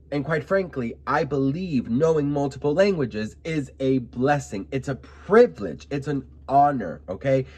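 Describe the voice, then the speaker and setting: A man's voice: medium pitch, a little mellow. A young man from Miami giving sassy, emphatic commentary to camera, often adding "okay?" asides. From Miami, United States. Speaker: vlogger commenting on celebrity news.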